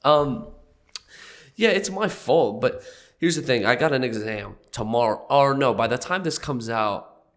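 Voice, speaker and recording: A young man with a stuffy, slightly nasal voice from a cold talks loosely and jokingly, pitched mid-range. He coughs now and then between his rambling remarks. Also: animated, unhurried, fairly bright tone. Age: young adult. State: congested from a cold. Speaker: podcast host. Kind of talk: casual podcast chat.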